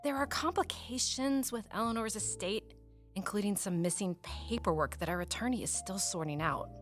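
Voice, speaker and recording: A woman's voice: mid-range voice, normal speed, soft background music, natural voice. A woman acting in an audio drama as a cautious wife, tentative and polite as she resists pressure. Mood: wavering.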